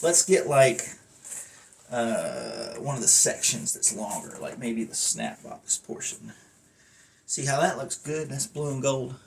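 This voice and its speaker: A man's voice: mid-range voice, very animated, takes it slowly. A man chatting casually in a rambling hobby review, with a folksy, self-deprecating and casually profane manner, recorded on a phone away from his mouth. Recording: noisy phone recording, distant mic.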